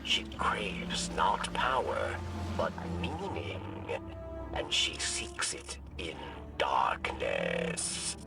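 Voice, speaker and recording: An actor voicing a wary old alien insectoid scribe in a video game, gravelly and hissing, with halting, broken phrasing. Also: male voice, a bass voice, huge pitch swings, whispered, far from the mic, loud music behind the voice, full character voice. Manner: halting, broken phrasing, speaks of himself in third person. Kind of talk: dramatic video game acting.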